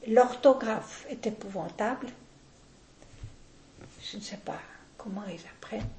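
An older woman, talking deliberately and with firm opinions in a casual interview, often restarting her sentences. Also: mid-range voice, dark tone, roomy sound, a little hiss or hum.

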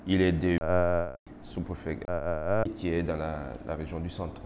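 A middle-aged man from Côte d'Ivoire with an Ivorian French accent, whose first language is Baoulé, answering formal interview questions in a measured way with many hesitations. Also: low voice, steady tone, darkish tone. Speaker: interviewee, magistrate. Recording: noisy roomy recording with long reverb.

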